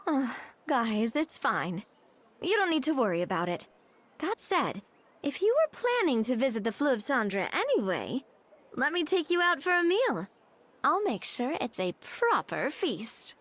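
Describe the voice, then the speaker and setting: A confident young woman in a video game, high-voiced and lively in her lilt. She speaks in a friendly, reassuring way and looks after her friends. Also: a little slow, full character voice. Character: confident young woman, warm and gracious leader.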